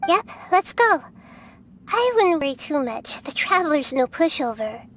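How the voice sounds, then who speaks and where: Very high-pitched, gentle young goddess. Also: female voice, sing-song, exaggerated voice. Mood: gentle, reassuring. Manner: measured, earnest, articulate. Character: small childlike goddess, gentle, wise, earnest girl. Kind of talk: video game voice acting.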